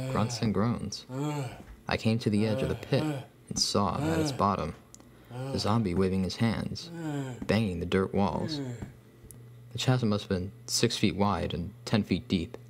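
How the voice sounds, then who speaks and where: Low gravelly man narrating horror. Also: unhurried, crowd noise. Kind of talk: scripted first-person horror story narration. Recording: faint hum behind voice.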